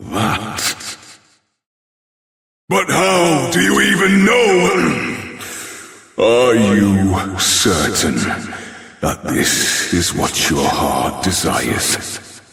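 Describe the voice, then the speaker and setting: Ancient godlike being, slow, cavernous echo. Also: male voice, medium pitch, fairly bright tone, exaggerated voice. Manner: slow, grand, theatrical delivery. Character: ancient godlike male being, grandly condescending, granting wishes to mortals. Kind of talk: scripted video game voice acting. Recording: cavernous echo with long reverb.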